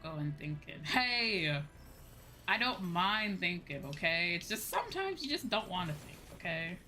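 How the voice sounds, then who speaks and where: Low-pitched woman streamer chatting over music. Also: sing-song, normal speed, bright, forceful tone. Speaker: streamer, VTuber host. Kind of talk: casual chatty game livestream commentary.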